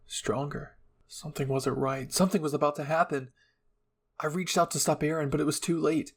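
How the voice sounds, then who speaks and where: A man's voice: fairly high pitch, breathy edge. A man reading an anime fan story aloud as a dramatic first-person narration. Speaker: fan fiction reader.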